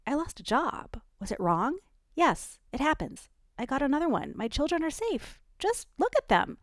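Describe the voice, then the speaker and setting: A woman's voice: sits high, sing-song, moderate pace, no room sound, studio quality, voice pushed up. A woman voicing Ellie, a defensive, fiery young mother, in an audiobook reading. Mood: heated. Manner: heated, pleading then hushed and contrite.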